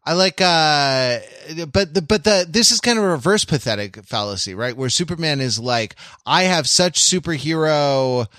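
A man co-hosting a podcast in casual discussion, speaking in a hesitant, halting, bookish manner. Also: a little high, animated, a bit bright, dry recording, studio quality. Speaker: podcast host.

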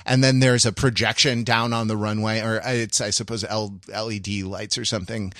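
A man's voice: on the low side, flat delivery, moderate pace, fairly bright tone, no echo, studio quality. A man co-hosting a casual podcast, explaining things in a rambling, analytical way. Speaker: podcast co-host.

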